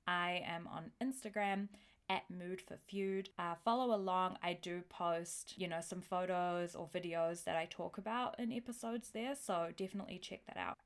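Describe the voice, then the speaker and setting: A woman's voice: fairly low pitch, steady tone, normal speed. A young woman hosting a podcast solo, talking in a casual, chatty, self-deprecating way full of informal slang. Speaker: podcast host.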